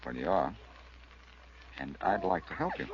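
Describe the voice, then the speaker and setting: An actor playing a confident, wisecracking reformed crook turned sleuth in a 1940s radio drama, with a cool, glib delivery. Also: male voice, extremely low pitch, huge pitch swings, normal speed, full character voice. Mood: cautioning. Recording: muffled, hissy vintage radio recording.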